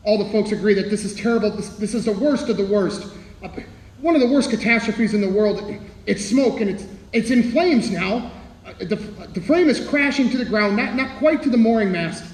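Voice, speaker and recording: A man's voice: high-pitched, normal speed, natural voice. A man playing a distraught radio reporter at a disaster, stammering and repeating words as they report live. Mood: overwhelmed, despairing. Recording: echoey hall with long reverb.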